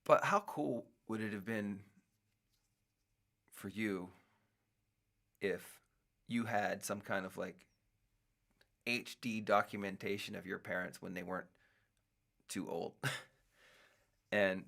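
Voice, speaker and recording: A man's voice: fairly low pitch, takes it slowly, vocal fry, pauses often, fairly bright tone. A middle-aged man hosting his own show, rambling casually with many restarts and fillers. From United States. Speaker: podcast host. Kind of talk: casual solo talk.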